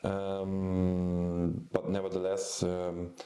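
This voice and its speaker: Forties guy from luxembourg, english not his first language, lots of ums, slow and low. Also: completely flat delivery, warm tone, reverberant. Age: 40s. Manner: hesitant, many ums and restarts. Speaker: photographer and YouTube host. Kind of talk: casual vlog talk.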